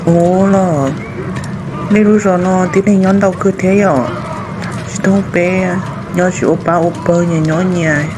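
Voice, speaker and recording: A man voicing an excitable adult man in a folk-tale cartoon, exclaiming often. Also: high-pitched, a little slow, noisy background. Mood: lively. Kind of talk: cartoon voice acting. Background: music.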